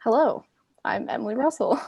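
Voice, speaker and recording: A woman's voice: a little high, expressive, fairly quick, vocal fry, mellow, husky, no echo. An eighteen-year-old woman, a film's cinematographer, giving brief matter-of-fact interview answers. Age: late teens.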